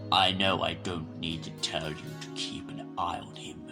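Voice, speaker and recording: An actor playing a stern, authoritative male president at war in an audio drama, speaking formally and gravely. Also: low-pitched, flat delivery, moderate pace, music in the background. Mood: firm.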